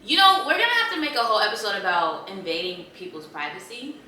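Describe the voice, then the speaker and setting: A woman's voice: medium pitch, big pitch swings, fast talker, bright, forceful tone. A young woman co-hosting a podcast in a laid-back, slangy casual chat, often laughing. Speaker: podcast co-host. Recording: echoey room, mic at a distance, faint background noise.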